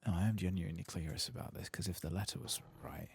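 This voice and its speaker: A man with a low, very husky voice gives soft ASMR game commentary. It crackles with fry, and he murmurs his way along as if to himself, sometimes in a whisper. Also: quick pace. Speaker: host of a game playthrough channel.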